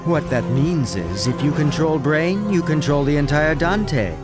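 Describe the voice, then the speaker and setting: An actor voicing a gloating, manipulative, megalomaniac villain in a video game, theatrical and taunting, with grandiose delivery. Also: male voice, fairly high pitch, lively intonation, measured pace. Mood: smug, grandiose. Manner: taunting, grandiose, erupting into shrieks. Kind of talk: theatrical English video game dub acting. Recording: loud music under the voice.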